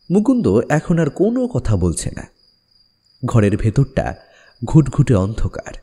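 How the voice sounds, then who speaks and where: A man narrating a scripted horror story dramatically, with suspenseful pauses. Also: mid-range voice, warm tone.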